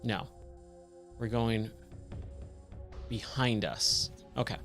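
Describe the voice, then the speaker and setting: Slow-paced, slightly hoarse male game streamer. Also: medium pitch, a bit bright, soft background music. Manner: rambling, self-questioning. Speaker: game streamer, host of a let's play. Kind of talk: casual gameplay commentary, thinking aloud.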